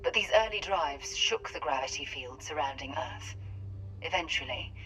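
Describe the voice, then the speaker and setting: An actress playing a solemn, knowing woman in a video game, explaining in a measured way, with a radio-like filter. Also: deep voice, bright, forceful tone, slight background noise, low-quality recording. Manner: measured, expository. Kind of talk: dramatic video game acting.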